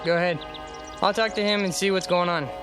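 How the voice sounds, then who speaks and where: A man's voice: high voice, fairly even intonation, a little fast, music in the background, lightly acted. A young man playing an easygoing, placating, slightly nervous newlywed husband in conversational radio drama dialogue. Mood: soothing. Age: young adult.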